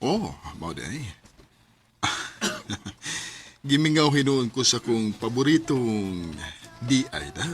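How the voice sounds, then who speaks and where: A man's voice: mid-range voice, sing-song, slow, pauses often, soft background music. A man playing a friendly, flirtatious superior at work in a radio drama, with a Cebuano accent.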